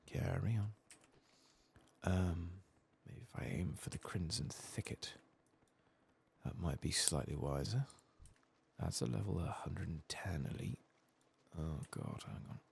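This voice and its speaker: A man giving soft-spoken, sometimes whispered ASMR commentary as he plays a video game, murmuring to himself and casually swearing, close to the microphone. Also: low voice, a little slow, gravelly, long pauses, a little hiss or hum. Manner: murmured running self-talk, casually sweary. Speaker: streamer playing a video game.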